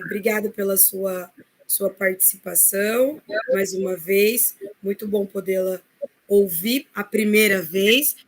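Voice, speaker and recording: A woman's voice: medium pitch, fairly bright tone. A Brazilian woman moderating a live online panel informally, a little hesitant, repeating words and often checking in with short tags.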